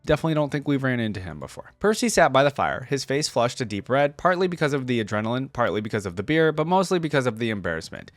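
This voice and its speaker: A man's voice: mid-range voice, big pitch swings, fast talker, few pauses, no room sound, faint music behind. Casual male reaction commentator. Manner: conversational, thinking out loud. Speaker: reaction video host and commentator. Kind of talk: casual reaction commentary mixed with reading text aloud.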